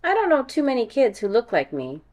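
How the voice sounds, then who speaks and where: A woman reading a children's picture book aloud in a deliberate, animated storytelling style. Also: fairly high pitch. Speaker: read-aloud storyteller, music teacher.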